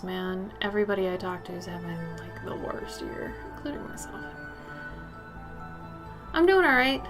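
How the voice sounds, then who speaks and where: A woman in her thirties livestreaming while she paints, chatting loosely and frankly with her viewers as she answers their messages. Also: medium pitch. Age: 30s. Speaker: streamer.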